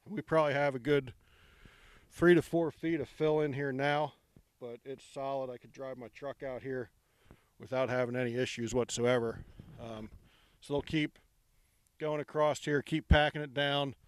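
A middle-aged man with a slightly high voice talks slowly and unhurriedly as he walks outdoors, filling pauses with ums. His handheld microphone picks up a clean, smooth sound with very dry, close presence. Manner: relaxed, many ums, walking while talking. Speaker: project guide giving a site tour. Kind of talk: casual walking tour. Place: outdoor construction site at a ballfield.

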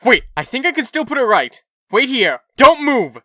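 A cocky, lazy young trickster soldier played by a man in a comic dub. He blusters in exasperation with wide swings of pitch, recorded dry. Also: sing-song, normal speed, sharp tone, no room sound, full character voice. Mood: pleading. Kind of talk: comic book fan dub.

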